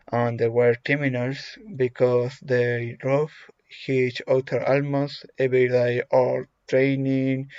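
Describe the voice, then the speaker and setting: A man from Chile with a Chilean Spanish accent, a native Spanish speaker reading a prepared self-introduction in English, halting, with frequent fillers and restarts. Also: medium pitch, clean tone, no room sound. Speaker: English language student. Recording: dull lo-fi recording.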